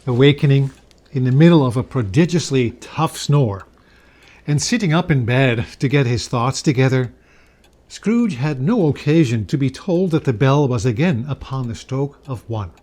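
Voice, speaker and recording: A man giving a dramatic, measured and expressive reading of a classic story as narrator. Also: medium pitch. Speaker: reader.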